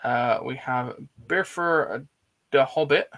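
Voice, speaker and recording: A man's voice: medium pitch, slow pace, a bit bright. A man, hosting a casual film chat and reading out live comments in an informal, blokey way. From Bristol, England. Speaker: host of a film discussion livestream.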